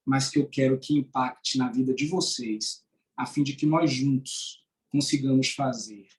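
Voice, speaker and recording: A man's voice: mid-range voice, darkish tone, rough recording. A man with a Bahian accent, a judge, gives courteous closing remarks and chats over a video call, often restarting his sentences. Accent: Bahian Portuguese. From Brazil, born in Sergipe, raised in Salvador. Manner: courteous, speaks in halting restarts. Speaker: judge giving a closing lecture. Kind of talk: formal closing remarks and conversation.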